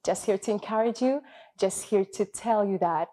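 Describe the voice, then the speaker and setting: An upbeat woman hosts a studio talk show, pressing her encouragements with emphasis and a hopeful, faith-tinged warmth of message. Her speaking pitch sits in the middle of the usual range for a woman. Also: normal speed. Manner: encouraging, emphatic, with spiritual wording. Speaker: host.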